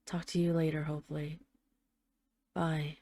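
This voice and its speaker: A woman's voice: low voice, level tone, warm tone, dry recording. A woman playing a tough but tender, jealous mafia boss, speaking intimately to an absent partner in a scripted audio roleplay voicemail. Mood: subdued, regretful. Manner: intimate, halting, sweary, addressing an absent lover.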